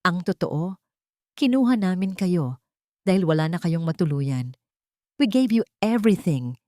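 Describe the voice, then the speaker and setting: A woman narrator plays a scheming old family patriarch in an audio romance drama. He is measured and patronizing, slipping English into his talk, with a bright, close and dry sound. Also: fairly low pitch, lively intonation, no echo. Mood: condescending. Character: menacing, condescending old patriarch, smooth manipulator.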